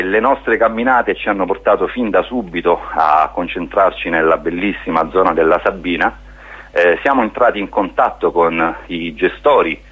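A man's voice: deep voice, a bit bright, slightly rough. A man speaking as a guest in a radio interview, explaining a project in an earnest way. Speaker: guest, spokesman of a hiking association.

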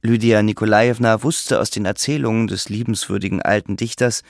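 A man's voice: fairly low pitch, fairly even intonation, brisk, creaky, dry recording, studio quality. A man reading scripted literary narration for an audiobook.

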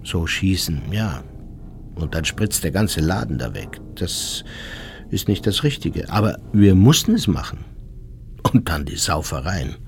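An actor playing an ageing, unrepentant former SS officer in a radio documentary, husky, blunt and self-justifying as he recalls the war. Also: deep voice, sing-song, measured pace, a little hiss or hum, exaggerated voice. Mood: blunt, boastful. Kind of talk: dramatised memoir monologue in a radio documentary.

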